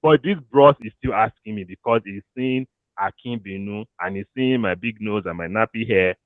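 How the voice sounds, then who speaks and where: A Nigerian man giving career advice to an audience in a casual, animated way, slipping into pidgin and laughing often. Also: lowish voice, moderate pace, no room sound. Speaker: career coach. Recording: thin phone-like dry audio.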